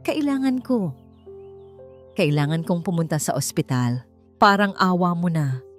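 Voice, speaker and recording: A woman's voice: on the low side, lively intonation, measured pace, soft background music. Woman acting a panicked, trembling pregnant woman in labour. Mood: pleading. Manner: breathless, trembling, crying out. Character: frightened young pregnant woman in labour, pleading.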